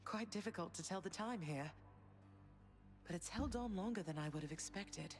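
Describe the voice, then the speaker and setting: An actress playing a composed, regal goddess queen, firm but tender, with formal, measured speech in a video game. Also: fairly low pitch, lively intonation, faint music behind, lightly acted. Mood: wistful. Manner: formal, measured, gently commanding.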